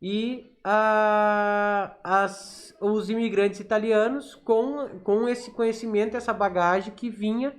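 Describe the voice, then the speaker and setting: Southern Brazilian man, halting explainer. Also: sits high, fairly even intonation. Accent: southern Brazilian, Rio Grande do Sul. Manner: halting, repeats words while recalling. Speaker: podcast guest. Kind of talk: informal explanation in a podcast interview.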